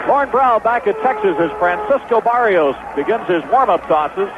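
A man's voice: high-pitched, expressive, moderate pace, narrow, tinny audio, background noise. A man calling baseball play-by-play as radio commentary on an old broadcast.

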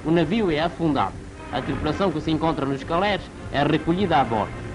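A man's voice: on the high side, lively intonation, quick pace, loud music behind the voice, low-quality recording. A man reading scripted 1940s wartime newsreel narration in a formal, declamatory announcer style, on an old film soundtrack.

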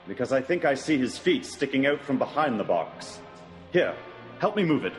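With music clearly behind him, a man plays a confident gentleman sleuth. He reasons aloud in clipped, analytical phrases with lively swings of pitch, as in a classic radio mystery. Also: close to an everyday voice. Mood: assured, then urgent. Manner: deliberate, analytical, clipped remarks. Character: shrewd, self-assured male gentleman detective. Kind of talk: radio mystery drama acting.

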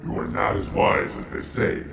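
A man voicing a menacing, contemptuous cyborg ninja in a fighting game, with gravelly, metallic robot processing and cold, declamatory threats. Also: normal speed, over loud music. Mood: cold menace. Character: menacing, contemptuous male cyborg ninja assassin bent on killing. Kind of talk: video game fighting dialogue.

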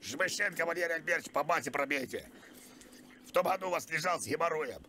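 Man putting on a creaky, whiny old pensioner. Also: on the high side, animated, fast talker, pushed and bright, slight background noise. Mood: indignant, pleading. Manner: rambling, insistent, plaintive. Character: crude, whiny, pestering old male pensioner. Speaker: prank caller. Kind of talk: prank phone call improvisation.

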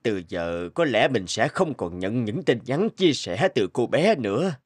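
A man voicing a kind older man who lost his only son, speaking gently and consolingly in an animated drama. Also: mid-range voice, unhurried, slightly rough. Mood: wistful. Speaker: voice actor. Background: background music.

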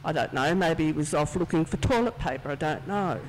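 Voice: mid-range voice, animated, fairly quick, a little hiss or hum. A speaker giving a children's talk in church, chatty and conversational as they tell a story. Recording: distant, reverberant church hall sound.

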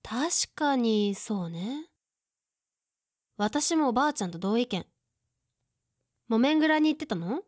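A woman's voice: a little high, lively intonation, slow pace, pauses often, a little mellow, dry recording, clean studio sound. A woman playing a teasing, perceptive woman in her mid-thirties who puts on cheer, in casual audio drama dialogue.

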